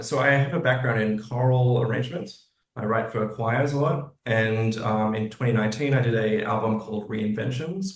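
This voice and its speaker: A man talking casually as a guest in an interview. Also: on the low side. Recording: roomy echo.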